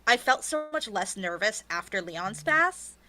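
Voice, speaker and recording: A woman author being interviewed, chatting casually in an animated, self-deprecating, sweary way. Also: medium pitch, measured pace.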